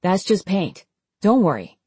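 A woman voicing a gentle young male dragon in an audiobook, earnest and worried, with a pleading manner. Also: on the low side, big pitch swings, measured pace, slightly rough, no echo, full character voice. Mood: relieved earnest.

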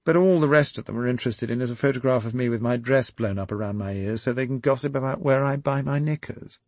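A man's voice: medium pitch, fast, a little mellow, dry recording, pitched above the performer's own voice, close to an everyday voice. A man voicing a pampered royal princess who speaks in an airy, affected manner. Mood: soothing.

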